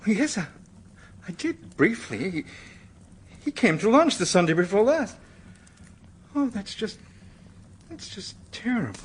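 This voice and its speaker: An actor playing an evasive, easily flustered American manuscript collector in a radio drama, polite but defensive, sometimes stammering. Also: male voice, sits high, very animated, a little slow, pauses often, slightly rough, exaggerated voice. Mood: shocked.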